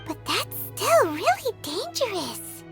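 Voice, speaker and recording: A woman voicing a tiny childlike fairy companion in an anime-style game, naive and curious, with a squeaky cartoonish voice. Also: very animated, slow pace, exaggerated voice. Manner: speaks of herself in the third person, puzzled questioning. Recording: music playing behind the voice.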